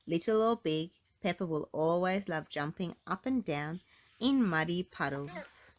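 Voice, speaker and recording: A woman reading a storybook aloud to children in an expressive narrating style. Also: on the low side, a little slow. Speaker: storybook reader.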